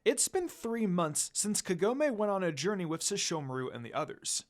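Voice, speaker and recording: A narrator reading a fan fiction story aloud in an expressive amateur storytelling style. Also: medium pitch, moderate pace.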